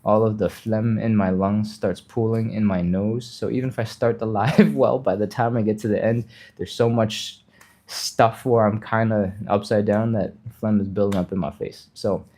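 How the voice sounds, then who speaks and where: A man's voice: lowish voice, steady tone, moderate pace, warm tone. A man with a slightly nasal voice and a mild cold, casually coaching a live stretching session step by step. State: mild cold, a little sniffly. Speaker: fitness instructor, livestream host.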